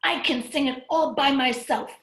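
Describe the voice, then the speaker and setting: An insistent little sister from a children's storybook, acted out by a woman reading aloud. The voice is very high and defiant, with a roomy echo around it. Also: moderate pace, a bit bright.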